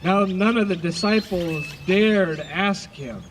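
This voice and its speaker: A man narrating scripture for a live outdoor dramatization, projecting deliberately and repeating phrases. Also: high-pitched. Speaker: narrator of an Easter service dramatization. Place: outdoors by a lake. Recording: faint outdoor noise, birdsong. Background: birds and outdoor ambience.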